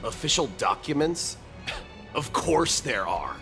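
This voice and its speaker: An actor playing a gruff, suspicious man posing as port staff, brusque and gravelly, in a video game cutscene. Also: fairly high pitch, sing-song, slow, pushed and bright, faint music behind, exaggerated voice. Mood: scoffing. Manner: brusque, challenging.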